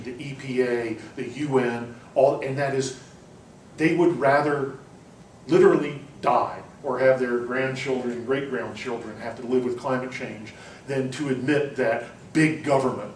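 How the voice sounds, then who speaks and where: A man's voice: mid-range voice, distant mic, slight background noise. Man lecturing in an echoey classroom. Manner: discursive, with frequent ums and restarts. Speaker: university lecturer. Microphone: far room recording.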